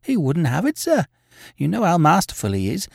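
Man voicing an anxious, high-pitched older landlady. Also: wildly swooping pitch, normal speed, vocal fry, darkish tone, dry recording, clean studio sound, exaggerated voice. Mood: anxious, resigned. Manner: urgent, emphatic, deferential.

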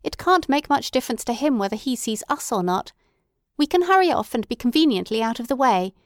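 An impatient middle-aged mother talks in a brisk, fussy, faintly scornful way, played by a woman reading aloud. Her voice sits somewhat raised and firm. The sound is dry and close. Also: a little high, lively intonation, no room sound, voice pushed up.

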